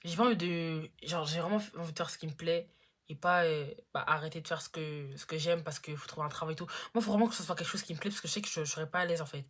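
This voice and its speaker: Chatty teenage girl, lower voice. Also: fast, fairly bright tone. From Congo-Brazzaville. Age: teens. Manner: chatty, lots of fillers, slangy. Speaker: YouTuber. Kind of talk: casual Q&A vlog.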